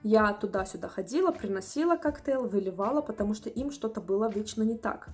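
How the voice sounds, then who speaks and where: A woman's voice: mid-range voice, fairly quick, faint music behind. Indignant young woman vlogging at home. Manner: animated, indignant, acts out customers' voices. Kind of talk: casual storytelling to camera. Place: small room at home, indoors.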